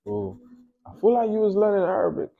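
A man's voice: high-pitched, very animated, fairly quick, phone-quality sound. A young man from Nigeria chatting casually while he paints in a small home studio, often mumbling to himself as he works. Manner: laid-back, mumbles to himself while working. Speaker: painter and host.

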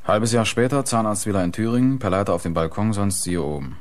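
An actor playing a brisk, dry police detective in a German radio crime drama. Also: male voice, lowish voice, lightly acted. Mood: matter-of-fact. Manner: clipped, dry, matter-of-fact.

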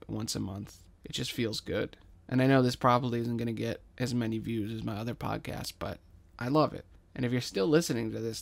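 A man with a gravelly, fry-edged voice at an average pitch. He narrates a scripted story and chats casually as the podcast's host.

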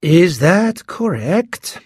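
A man voicing a fat, older detective who interrogates in a curt, drawn-out, impatient way. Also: a little high, big pitch swings, slightly rough, no room sound, clean studio sound, full character voice.

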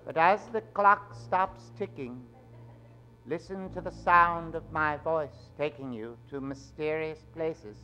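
A suave, mysterious old-time radio storyteller, acted by a man on stage, who draws out each dramatic phrase slowly. Soft music may sit behind the theatrical suspense. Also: a little high, pitched below the performer's own voice, poor audio. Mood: mysterious, grand. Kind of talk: 1940s radio mystery acting. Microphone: vintage-style stand microphone.

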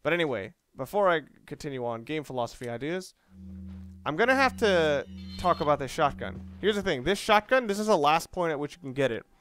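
Smooth-voiced man rambling over a game. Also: on the high side, sing-song, fairly quick, a bit bright, soft background music. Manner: rambling, thinking aloud. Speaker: let's player. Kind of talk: casual game commentary.

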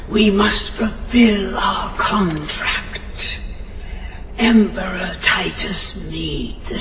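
An actress playing an ancient undead matriarch spirit in a video game, solemn and commanding, with a creaky, ghostly voice. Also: female voice, low voice, exaggerated voice. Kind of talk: dramatic video game acting. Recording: echoing, distant, music underneath.

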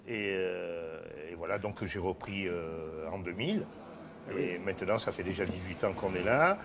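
An older man talks in a lowish voice, gruff and joking, stopping often on fillers. He is a restaurant owner on a lapel mic in a small wine bar, with faint noise around him. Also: normal speed. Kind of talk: casual interview.

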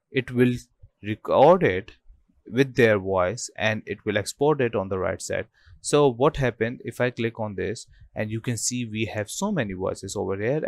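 A man hosting an informal software tutorial, talking through each step in a halting way with habitual filler phrases. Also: lowish voice, no room sound. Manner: halting, repeats filler phrases like obviously and you know. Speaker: tutorial host.

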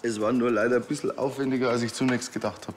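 An actor playing an unflappable, laconic Bavarian car mechanic in a TV crime comedy. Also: male voice, medium pitch, steady tone, fast, slight background noise, natural voice.

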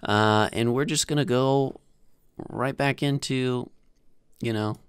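A man in his thirties narrating a casual software tutorial in an informal, halting, self-deprecating way, close on a desktop microphone. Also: mid-range voice, clear, resonant tone, dry recording. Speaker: host of a software tutorial channel. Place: small indoor room at home. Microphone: desktop microphone close to the mouth.

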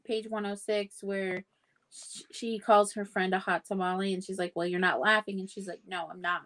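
A young woman talks informally about a book on a video call. Her voice has an average pitch, and she feels her way through thoughts, often restating a phrase. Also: level tone, moderate pace, a bit bright. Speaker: book club livestream co-host. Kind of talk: casual book discussion chat. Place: indoor room at home, on a video call.

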